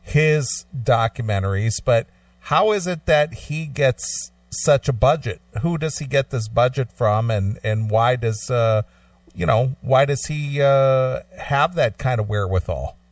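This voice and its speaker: A man hosting a casual interview, talking deliberately with pauses mid-sentence. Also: medium pitch, no room sound, recorded from a distance. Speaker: radio show host, interviewer.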